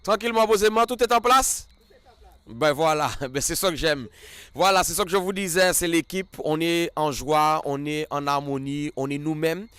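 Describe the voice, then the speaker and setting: A man hosting a relaxed interview, opening with a loud, lively greeting. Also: fairly high pitch, normal speed, smooth, dry recording. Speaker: radio show host. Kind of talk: casual interview.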